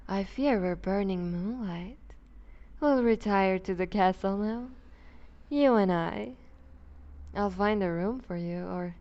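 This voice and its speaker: A woman playing a seductive, possessive vampire duchess in an ASMR roleplay, speaking with deliberate, teasing condescension and breaking into whispers. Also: medium pitch, warm tone, smooth, lo-fi sound, close to an everyday voice. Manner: slow, deliberate, purring condescension with whispered phrases. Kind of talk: ASMR roleplay audio drama.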